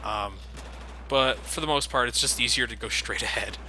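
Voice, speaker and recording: Male game commentator, medium pitch, casual, sarcastic, self-deprecating, sweary, clear combat noise behind him. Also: moderate pace, bright, forceful tone. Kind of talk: casual let's play commentary. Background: video game gunfire and vehicles.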